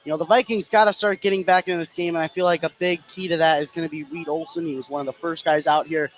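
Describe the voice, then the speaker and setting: A man's voice: on the high side, steady tone, fast. A man calling live basketball play-by-play on the radio. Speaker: sports play-by-play announcer.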